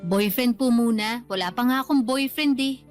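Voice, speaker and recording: An actress playing an earnest, wistful grown daughter in a radio drama, polite and plaintive, with a Filipino accent. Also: female voice, fairly high pitch, normal speed, soft background music, lightly acted. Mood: playfully exasperated. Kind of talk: Tagalog radio drama acting.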